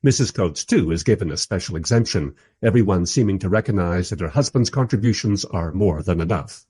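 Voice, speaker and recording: A man's voice: low voice, animated, fairly quick, warm tone, slightly rough. A man playing a wry, bemused first-person narrator in a radio play, telling the story in a dry, literary way.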